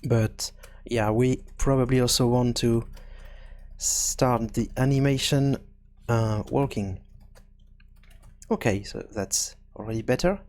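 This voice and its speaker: A man hosting a programming tutorial, thinking aloud in a halting, hesitant way as he works through each step. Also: medium pitch, steady tone, darkish tone. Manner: hesitant, halting, working things out as he speaks. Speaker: video game programming tutorial host.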